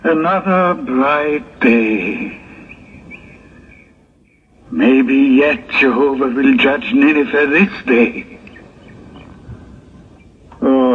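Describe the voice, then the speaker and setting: An actor playing an aggrieved, self-pitying Hebrew prophet in a 1960s religious audio drama, with theatrical, drawn-out sighs and moans. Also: male voice, medium pitch. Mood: weary, hopeful. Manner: theatrical, drawn-out, sighing and moaning monologue. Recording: tinny, noisy 1960s tape recording.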